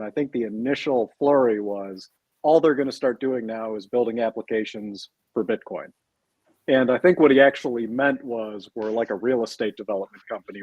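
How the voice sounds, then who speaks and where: A man's voice: medium pitch, darkish tone, slightly rough, dry recording, dull audio. A man speaking as a panel guest in a casual discussion, explaining steadily and tagging his points with questions. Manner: explanatory, keeps adding tag questions.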